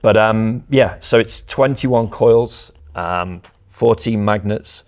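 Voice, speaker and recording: A man's voice: lowish voice, takes it slowly, darkish tone. A man giving a step-by-step technical explanation as a company representative presenting audio products.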